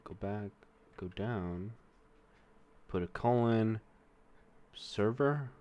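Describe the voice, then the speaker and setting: A man's voice: fairly low pitch, drawn-out words, darkish tone, lo-fi sound. A young man with a Mexican-American accent, casually swearing and thinking aloud as he works through coding exercises on a stream. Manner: profane, rambling, thinks aloud while typing. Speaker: streamer learning to code.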